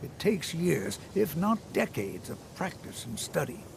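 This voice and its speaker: Creaky, lilting old wizard teacher. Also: male voice, a little high, sing-song, unhurried, a little hiss or hum, exaggerated voice. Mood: patient, cautioning. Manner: gentle, courteous, instructing. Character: kindly elderly male wizard teacher, patient and cautious. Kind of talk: video game character dialogue.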